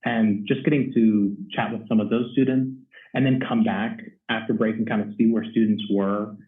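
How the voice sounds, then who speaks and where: A man's voice: lowish voice, steady tone, moderate pace. A young man from Texas, a first-year choir teacher, chatting in an interview with lots of ums and restarts. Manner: rambling with many ums and restarts. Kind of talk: casual interview chat. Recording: echoey, distant, phone-like audio.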